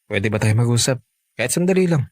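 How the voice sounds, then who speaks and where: Jealous, animated Filipino lover. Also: female voice, extremely low pitch, fast, dry recording. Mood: apologetic, subdued. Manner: interrogating, insistent. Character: jealous, possessive young Filipino lover, quick to accuse. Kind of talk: Filipino radio drama acting.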